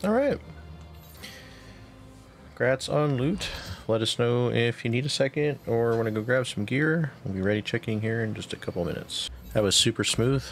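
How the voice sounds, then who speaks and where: A man leading a game raid on stream, calmly calling out mechanics and giving instructions. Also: mid-range voice, moderate pace, faint music behind. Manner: calm, instructive callouts with short laughs.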